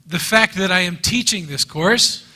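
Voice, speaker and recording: A man teaching a Bible class in English, speaking earnestly in short phrases and pausing so an interpreter can translate. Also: high-pitched, pushed and bright. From United States. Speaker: guest teacher. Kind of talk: lecture given through an interpreter. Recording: reverberant hall.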